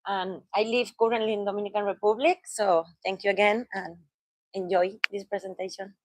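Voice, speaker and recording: A woman's voice: mid-range voice, fairly even intonation, fairly quick, background noise. Woman giving a short polite introduction. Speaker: sales representative. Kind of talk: brief self-introduction.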